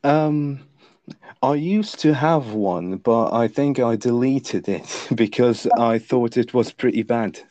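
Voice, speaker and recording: A man's voice: medium pitch, slow, warm tone, no echo. A young man whose first language is Lithuanian, a guest author on a podcast, who answers hesitantly with many fillers and restarts. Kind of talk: podcast interview.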